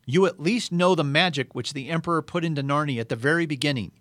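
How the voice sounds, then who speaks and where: A man's voice: fairly high pitch, fast, fairly bright tone, dry recording, pitched above the performer's own voice, close to an everyday voice. A menacing witch queen, as a man puts her on. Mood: menacing, haughty. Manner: haughty and menacing. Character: cold, imperious witch queen demanding blood.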